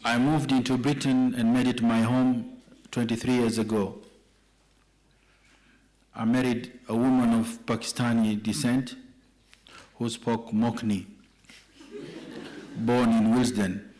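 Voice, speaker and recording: A man's voice: medium pitch, little pitch movement, slow, pauses often, darkish tone, slight background noise. A middle-aged man from Kenya, speaking as a respondent at an evening panel discussion in a joking, conversational way. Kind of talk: public talk at an evening panel.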